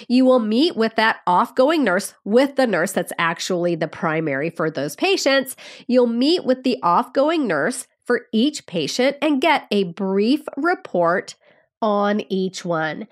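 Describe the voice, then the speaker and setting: A woman with a cold, sounding nasal and congested, hosting a podcast and explaining nursing topics to students in a friendly, direct way. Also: mid-range voice. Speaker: nursing educator and podcast host. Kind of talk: podcast teaching talk.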